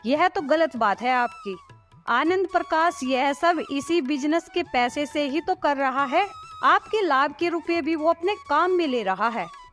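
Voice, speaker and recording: A woman's voice: high voice, a bit bright, voice pushed up. A woman voicing a worried, nagging wife in a moral story, scolding and insistent. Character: worried, nagging wife doubting her husband's partner.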